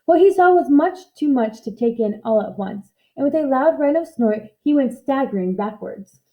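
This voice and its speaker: A woman reading a children's story aloud in an expressive narrating style. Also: high-pitched, moderate pace, lo-fi sound. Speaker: storybook reader.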